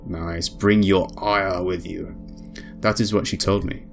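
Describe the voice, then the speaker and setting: A man reading a visual novel aloud as a let's play host, in an expressive storybook style with casual asides. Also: low-pitched, slow pace, vocal fry, soft background music. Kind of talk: dramatic read-aloud narration with casual asides.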